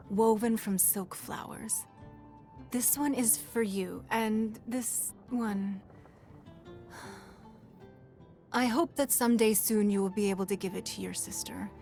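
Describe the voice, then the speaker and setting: A shrewd, wealthy lady of business from a video game, with a medium-pitched voice. She is composed and elegant, weighing each phrase with a subtle tease. Music plays behind her. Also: gravelly, darkish tone. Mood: poised, measured. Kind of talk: scripted video game character lines.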